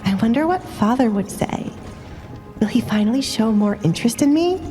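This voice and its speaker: A meek young noblewoman in an audio romance, voiced by a female narrator, pitched high and hesitant. She sounds lonely, nervous and hopeful, with light music beneath her. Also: animated, moderate pace, mellow, natural voice. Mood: wondering. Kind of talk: dramatized audio romance story.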